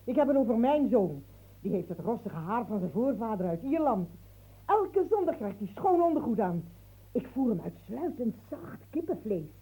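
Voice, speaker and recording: An actress playing a sharp-tongued, sarcastic mother who has come to collect money, in a radio play. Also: a little high, very animated, moderate pace, husky, full character voice, poor audio. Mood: tart. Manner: tart, sarcastic.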